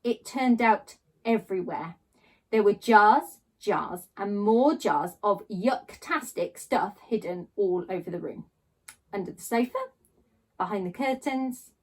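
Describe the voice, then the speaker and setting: A woman reading a children's story aloud in a theatrical way, with dramatic pauses and drawn-out emphasis on key words. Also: medium pitch, rough recording. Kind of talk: expressive children's storybook narration.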